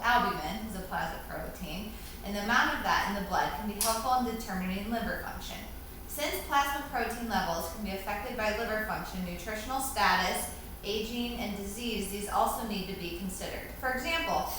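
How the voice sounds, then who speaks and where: A woman with a bright, forceful voice recites scripted teaching material in a formal way. The recording is very echoey, with faint noise behind her. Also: medium pitch. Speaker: co-presenter answering questions. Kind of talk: scripted educational presentation, read out.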